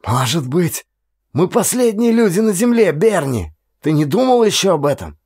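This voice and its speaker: Audiobook role: ailing young man voiced by a man, higher voice, stubborn and needling. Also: sing-song, moderate pace, a little mellow, breathy edge, dry recording, clean studio sound, heavily acted. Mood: taunting. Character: sick young man, Needles, mocking, stubbornly stoic friend.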